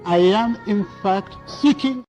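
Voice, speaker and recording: A man giving a formal political statement, measured and deliberate, leaning on single words. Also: high-pitched, a little mellow, faint music behind.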